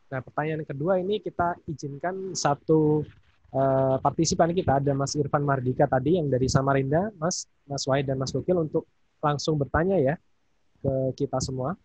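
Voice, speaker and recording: A man's voice: fairly high pitch, moderate pace, darkish tone, breathy edge, no echo, poor audio. A man moderating an online seminar, polite and hesitant, with many fillers.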